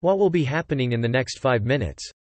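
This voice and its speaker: A male narrator reads English vocabulary and example sentences for learners. His pitch moves around a lot as he repeats each line steadily. The sound is dry, with no room around it. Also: expressive, fairly quick, no room sound. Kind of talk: reading example sentences and definitions aloud.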